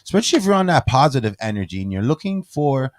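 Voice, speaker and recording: A man hosting a podcast, hyped and animated, talking straight to his audience. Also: mid-range voice, fast talker, no room sound, clean studio sound. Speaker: podcast host. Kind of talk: casual chat. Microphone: studio mic.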